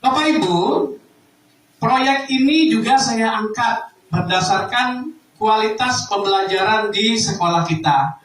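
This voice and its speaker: Male educator, high voice, formal and emphatic presenter, recorded from a distance in a reverberant room. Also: a bit bright. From Indonesia. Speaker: school educator presenting an improvement project to teachers. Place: echoey room, presenting live to staff. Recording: echoey room, distant microphone.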